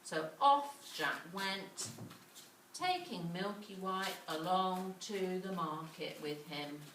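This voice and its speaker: Female children's storyteller, bright and unhurried, dramatic delivery, mic at a distance with slight noise. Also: fairly low pitch, echoey room. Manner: dramatic, with repetition and questions to listeners.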